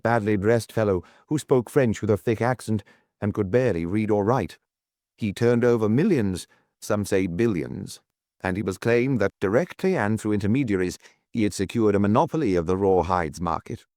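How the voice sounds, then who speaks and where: A man's voice: on the low side, darkish tone, no room sound, studio quality. A man reading a detective novel as a scripted audiobook narration.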